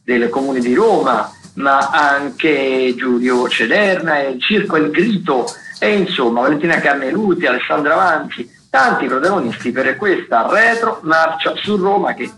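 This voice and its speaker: An Italian man hosting a radio show, running through event listings in a semi-improvised way, often halting and correcting himself. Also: fairly high pitch, expressive, bright, forceful tone, roomy sound, a little hiss or hum, rough recording. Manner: halting, with self-corrections and trailing hesitations. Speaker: radio host.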